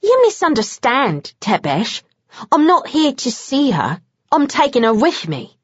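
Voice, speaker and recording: A man's voice: extremely high pitch, huge pitch swings, measured pace, no echo, lo-fi sound, pitched above the performer's own voice, full character voice. A woman voicing a cocky, sardonic male vampire in an audiobook. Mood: cold. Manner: bantering, sardonic.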